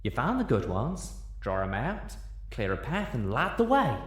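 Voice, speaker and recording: A man's voice: mid-range voice, huge pitch swings, unhurried, fairly bright tone, echoey room, voice pushed up. A man voicing a stern but caring mare. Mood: firm, reassuring.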